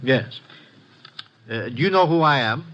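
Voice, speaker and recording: An actor playing a wealthy, secretive loan company president who speaks hesitantly, with many pauses, in a 1950s radio drama. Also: male voice, mid-range voice, lively intonation, fairly bright tone. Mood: guarded. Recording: dull lo-fi old radio recording.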